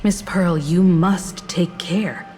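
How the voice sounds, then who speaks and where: An actress playing a dignified, cautious woman in a video game, speaking formally with calm, wary authority. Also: fairly low pitch. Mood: guarded, cautionary. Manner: formal, measured, admonishing. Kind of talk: scripted video game dialogue.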